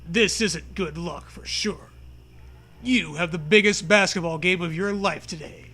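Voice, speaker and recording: A man's voice: sits high, measured pace, bright, forceful tone. A man co-hosting a comedic read-aloud, narrating in a mock-dramatic, jokey way with casual banter. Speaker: co-host reading a comedic story aloud.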